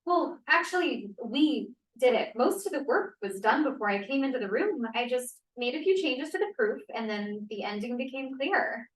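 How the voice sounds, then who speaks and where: An echoey room carries the fairly high voice of a woman acting a confident young scientist in a 1960s drama. She is measured, earnest and firm in her principles, read out as at a table read. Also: lively intonation, recorded from a distance. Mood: wary, candid.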